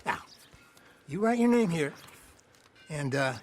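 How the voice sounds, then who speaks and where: High-voiced, pompous salesman. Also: animated, slow pace, slight background noise. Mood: delighted, officious. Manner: pompous, effusive sales patter. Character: flamboyant, eager male house salesman, grandiloquent huckster. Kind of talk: theatrical game cutscene acting.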